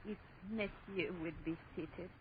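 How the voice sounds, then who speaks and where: An actress playing a formal, coldly courteous old French hostess in radio horror, speaking in a hushed, mysterious way. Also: female voice, lowish voice, measured pace, close to an everyday voice. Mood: politely sinister. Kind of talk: 1930s-40s radio horror acting. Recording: dull, noisy vintage radio recording.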